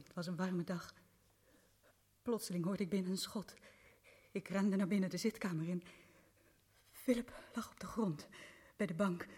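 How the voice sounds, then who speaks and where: An actress playing a young woman accused of murder in a radio play, halting and pleading. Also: lowish voice, dark tone, slightly rough. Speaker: accused prisoner.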